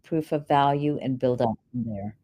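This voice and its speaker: A woman's voice: a bass voice, normal speed, warm tone. A woman answering questions as a keynote speaker, explaining in an instructional, step-by-step way. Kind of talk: explanatory conference Q&A.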